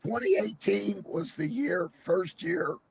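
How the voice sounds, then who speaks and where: A hoarse man with a cold calling into a live sports chat, rambling and hesitant with many fillers. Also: sits high, animated, slow. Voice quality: gravelly, husky. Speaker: caller on a live panel stream. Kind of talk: casual call-in chat.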